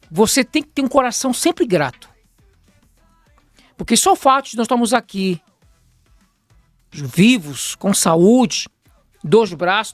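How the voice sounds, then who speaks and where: A middle-aged Brazilian pastor from Pará speaks in a calm, measured cadence, pausing as he preaches. The recording is dry and close, with faint music beneath. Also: sits high, no echo. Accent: Brazilian Portuguese, from Belém do Pará. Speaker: pastor and spiritual mentor. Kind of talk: calm conversational talk-show guest.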